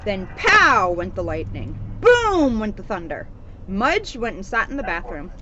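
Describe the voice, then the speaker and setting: A woman reading a children's storybook aloud in an animated, expressive way, with emphasis on the sound words. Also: mid-range voice, unhurried. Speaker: storybook reader, police officer. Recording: noisy, dull outdoor recording.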